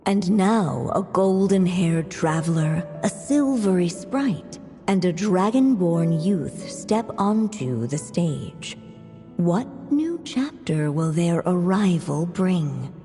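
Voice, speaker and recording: A woman's voice: on the low side, animated, slow pace, dark tone, faint music behind. A woman playing a mysterious, knowing storybook narrator in a video game, with theatrical delivery and long reverb. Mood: storytelling.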